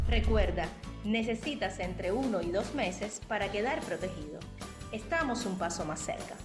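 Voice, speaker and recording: A woman narrating a scripted public health announcement. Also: mid-range voice.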